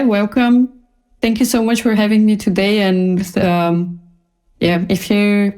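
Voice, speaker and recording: A woman's voice: medium pitch, a little mellow. A woman from Bulgaria, a designer speaking casually as a guest in an interview.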